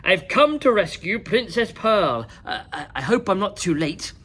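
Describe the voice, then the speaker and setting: A woman's voice: medium pitch, very animated, a little slow, fairly bright tone, slightly rough, heavily acted. A woman voicing a self-important, slightly bumbling male knight in a children's storybook, declaiming pompously. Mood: grand.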